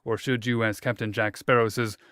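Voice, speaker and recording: A man from Indiana hosting an interview, asking questions in a relaxed, joking way. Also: fairly low pitch, fairly quick, smooth, no room sound, studio quality. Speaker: interviewer, host.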